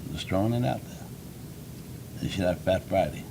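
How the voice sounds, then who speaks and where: A man's voice: low-pitched, expressive, brisk, vocal fry, a little hiss or hum, rough recording. A man co-hosting a live web show, trading crude, mocking jokes in casual banter. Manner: loud, mocking, crude joking.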